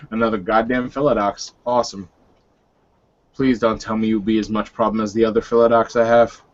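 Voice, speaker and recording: A man's voice: on the low side, fairly even intonation, moderate pace, close to an everyday voice. Man playing a sardonic werewolf warden, lo-fi. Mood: dry, sardonic. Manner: dry, sardonic.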